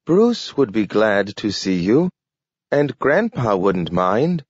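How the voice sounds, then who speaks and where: A man's voice: on the low side, big pitch swings, takes it slowly, breathy edge, no room sound, exaggerated voice. A man voicing a friendly, eager young male dog who speaks with careful pronunciation and odd intonation, like someone learning the language. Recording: dull lo-fi recording.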